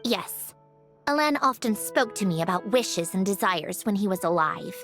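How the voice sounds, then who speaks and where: A woman voicing a young female automaton in a video game, speaking in a measured, explanatory, slightly sardonic way. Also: mid-range voice, lively intonation, lightly acted. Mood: reflective. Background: game soundtrack music.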